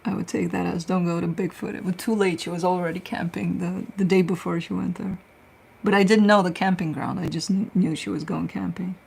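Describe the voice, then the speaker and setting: A woman's voice: lowish voice, fairly even intonation, a little fast, gravelly, darkish tone, husky, poor audio. A woman, a tarot reader chatting casually on a livestream, telling stories and answering viewer questions. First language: Romanian.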